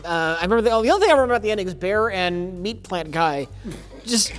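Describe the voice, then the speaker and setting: A man's voice: high voice, expressive, fast, a bit bright, soft background music. Giggly man cracking jokes. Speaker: co-host. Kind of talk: casual movie chat. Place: dim studio set with curtains.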